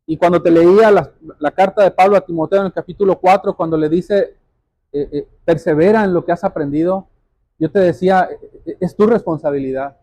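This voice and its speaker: A male pastor delivers a sermon in a high, mellow voice. He exhorts his listeners earnestly and directly, circling back to key phrases to stress them. The sound is dry, with very little room around it. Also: no room sound, low-quality recording. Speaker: pastor preaching to a congregation.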